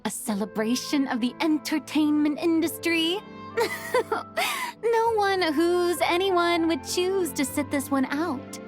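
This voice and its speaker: A woman's voice: extremely high pitch, animated, takes it slowly, heavily acted. A woman voicing a grand, showy young woman in a game, making proud, theatrical declarations. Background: music.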